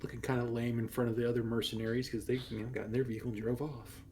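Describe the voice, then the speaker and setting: A man's voice: medium pitch, little pitch movement, racing, darkish tone. A man talking casually and matter-of-factly as a player in a tabletop game. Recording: faint background noise on live stream.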